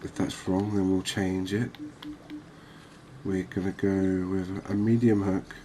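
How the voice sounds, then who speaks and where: Soft spoken guy doing asmr gaming commentary, really slow, flat tone, pauses to think. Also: low-pitched, slight background noise. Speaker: gaming video host.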